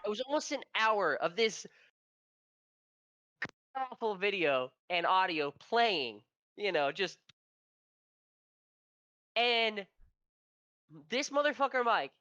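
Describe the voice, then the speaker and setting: Nasal, bright-voiced man. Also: high voice, big pitch swings, slow pace, long pauses, no room sound, lo-fi sound. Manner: profane, rambling storyteller. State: stuffy from allergies. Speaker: podcast co-host. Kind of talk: casual esports podcast chat.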